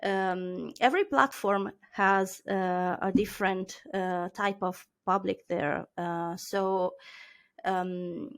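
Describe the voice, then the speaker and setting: A woman with an Italian accent, a native Italian speaker, chatting as an interview guest over a video call with many fillers and pauses. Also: medium pitch, fairly even intonation. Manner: hesitant, many fillers, laughs often. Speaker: marketing consultant, guest. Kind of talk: casual interview chat.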